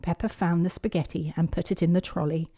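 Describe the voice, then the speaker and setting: A woman reading a picture book aloud to young children in an expressive storytelling manner. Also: fairly low pitch, brisk. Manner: expressive, clearly paced delivery of picture-book narration.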